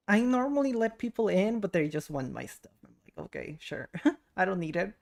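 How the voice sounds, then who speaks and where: Creaky-voiced woman livestreamer chatting casually. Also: medium pitch, sing-song, normal speed, darkish tone. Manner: informal, chatty, self-deprecating. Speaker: livestreamer, VTuber. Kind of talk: casual chat with viewers while gaming. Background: game audio and music.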